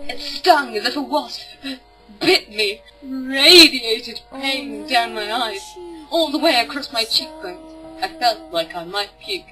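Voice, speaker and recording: A woman's voice: a little high, low-quality recording. A woman giving a theatrical, sarcastic dramatic reading of a novel as an amateur narrator. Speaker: amateur book reader. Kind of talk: mock-dramatic audiobook narration.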